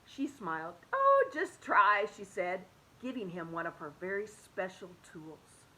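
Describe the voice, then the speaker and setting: A woman reading a children's picture book aloud, expressive and animated, dropping to soft hushed tones. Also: fairly low pitch, a little slow, low-quality recording. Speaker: children's story reader.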